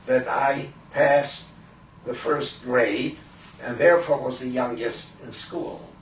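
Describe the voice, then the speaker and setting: An elderly man from Poland in his eighties, a Yiddish speaker, recalling his early life in a halting, deliberate way for an oral history. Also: medium pitch, fairly bright tone. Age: 80s. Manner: halting, deliberate, frequent throat clearing. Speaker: Holocaust survivor giving testimony. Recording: roomy, distant microphone with faint noise.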